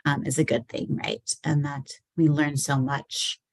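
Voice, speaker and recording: Woman poet chatting in a q&a, kinda musing, low creaky voice, real dry sound. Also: little pitch movement, measured pace, no echo. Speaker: poet and anthology editor, guest. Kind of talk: poetry reading and conversational Q&A.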